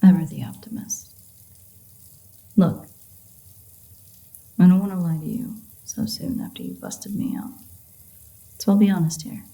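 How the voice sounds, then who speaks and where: A woman's voice: lowish voice, fairly even intonation, unhurried, long pauses, warm tone. A woman playing a sardonic, free-spirited, flirtatious female vampire in an intimate audio roleplay, teasing and swearing as she goes. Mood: sarcastic, teasing.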